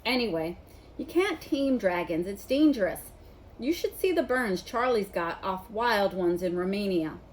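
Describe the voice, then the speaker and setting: A woman voicing a blunt, excitable know-it-all schoolboy in a storybook, speaking emphatically. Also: medium pitch, a little slow, a little hiss or hum, natural voice, low-quality recording. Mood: emphatic.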